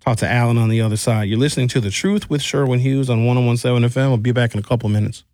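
A middle-aged American man hosting a radio talk show in an informal, opinionated storytelling style, colloquial and animated, on a close studio mic. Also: mid-range voice, very fast, no echo. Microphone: close studio microphone.

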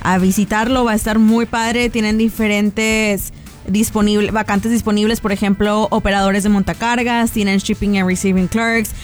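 A woman's voice: mid-range voice, little pitch movement, normal speed, faint music behind. A woman in her thirties with a Mexican Spanish accent, co-hosting a radio show in upbeat, chatty banter. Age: 30s. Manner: chatty, upbeat, lists details. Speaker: radio co-host.

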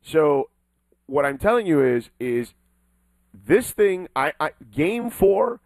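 A man's voice: on the high side, very animated, slow pace, darkish tone, no room sound. A man co-hosting a casual sports talk show, emphatic and insistent, leaning hard on the key words.

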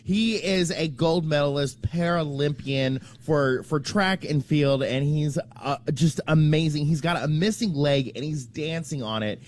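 A man on a morning radio show with a fairly high voice. He talks earnestly and gushes with admiration. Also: a little slow, hardly any pauses, a little mellow. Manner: earnest, gushing praise.

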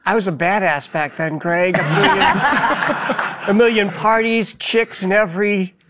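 A man's voice: high voice, measured pace, very noisy. A man on a panel show, joking in a laid-back way before giving his view. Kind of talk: comedic panel talk.

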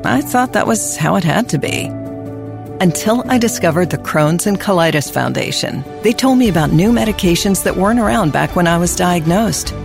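A person sharing a candid personal testimonial in an ad. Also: female voice, fairly low pitch, animated, normal speed, vocal fry, music in the background.

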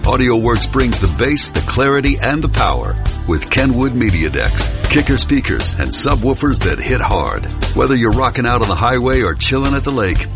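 A man reading a scripted radio commercial as a voice-over announcer. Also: mid-range voice.

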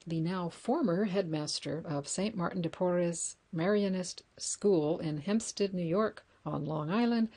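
Low-voiced American woman reading aloud. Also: warm tone. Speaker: reader for an audio reading service of books and news articles. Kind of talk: reading articles and book excerpts aloud.

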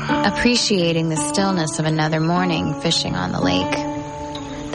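A woman reading a scripted ad in a calm, soothing, measured way. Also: fairly low pitch, creaky. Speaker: ad narrator. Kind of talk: scripted ad narration.

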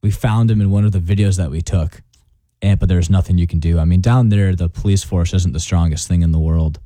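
A young man in his twenties talks with an easygoing, rambling looseness and laughs often. His voice sits low and sounds mellow, and the recording is close and dry. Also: fairly even intonation, fairly quick, no room sound. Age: 20s. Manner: easygoing, rambling, laughs often, keeps saying "I'll tell you what". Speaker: guest, college student. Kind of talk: casual on-air chat.

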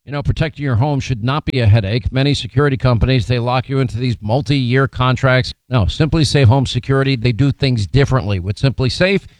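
A man's voice: medium pitch, brisk, hardly any pauses, no room sound. A man reading a home-security ad in a casual, conversational way. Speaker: ad reader. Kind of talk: conversational host-read ad.

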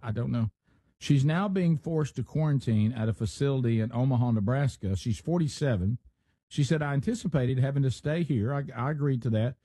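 A man's voice: mid-range voice, fairly quick, mellow, breathy edge, dry recording, studio quality. A man hosting a talk radio show, reading out a news story and adding his own asides in casual banter. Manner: reads news aloud with asides.

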